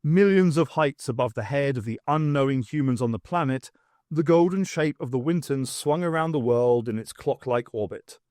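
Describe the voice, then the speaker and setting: A man's voice: medium pitch, moderate pace, a little mellow, dry recording, studio quality. A man narrating a science fiction audiobook in a scripted, storytelling style.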